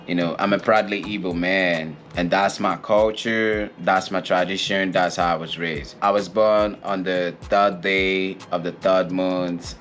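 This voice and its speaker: A Nigerian man in his twenties with a Nigerian accent, talking earnestly and warmly in a casual couples chat. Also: low-pitched, fairly even intonation, a little hiss or hum. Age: 20s. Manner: earnest, heartfelt, unhurried. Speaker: co-host.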